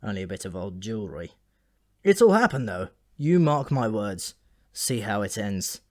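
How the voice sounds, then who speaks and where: A man's voice: fairly low pitch, sing-song, normal speed, a little mellow, no echo. Ancient dwarf for a fantasy audiobook , acted by a man: dyspeptic, gloomy, rambling, grudgingly friendly, recorded dry. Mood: gloomy, grumbling.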